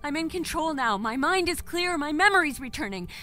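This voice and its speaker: A woman's voice: sits high, expressive, fairly quick, pushed and bright. An actress playing a distressed, pleading captive woman in an audio drama, emotional and defiant. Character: distressed, pleading female captive insisting she is human. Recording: music under the voice.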